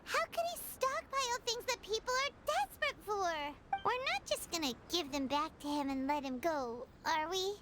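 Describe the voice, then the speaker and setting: A woman voicing a tiny, excitable childlike fairy companion in a video game, squeaky, chatty and theatrical. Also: moderate pace, few pauses. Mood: indignant. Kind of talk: scripted video game character acting. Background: background music.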